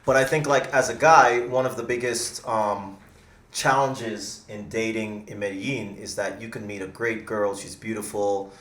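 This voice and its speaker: Chatty low-voiced podcast host. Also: male voice, fairly even intonation, normal speed, a bit bright. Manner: chatty, jokey, rambling questions. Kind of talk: casual interview chat. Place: small studio room. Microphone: studio mic on arm. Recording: roomy studio echo.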